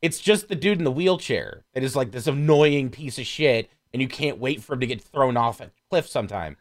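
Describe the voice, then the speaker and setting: A man chatting casually on a podcast, blunt and profane, speaking with lively emphasis. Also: mid-range voice, brisk. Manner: animated, emphatic.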